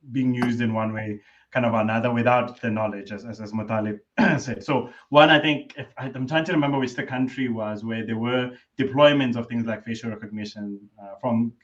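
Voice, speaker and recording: A man on a panel speaks in a mid-range voice, stumbling through repeats and fillers. His audio sounds dull and lo-fi. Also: mid-range voice. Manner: halting, many repeats and fillers. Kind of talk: panel discussion.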